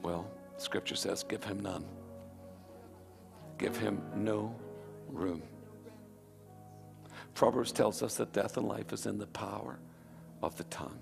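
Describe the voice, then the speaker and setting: A man's voice: lowish voice, fairly even intonation, slow pace, gravelly, pauses often. A man preaching a live sermon to a congregation, with emphatic, fervent repetition. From Northern Ireland. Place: church hall with a live congregation. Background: music.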